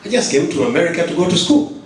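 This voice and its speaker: A man's voice: fairly high pitch, very animated, fast talker, a little hiss or hum. A man from Kenya speaking from the floor at a conference, earnest, often restarting and repeating words as he presses his point. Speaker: audience member at a conference. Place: large conference hall. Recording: echoey hall, mic from a distance.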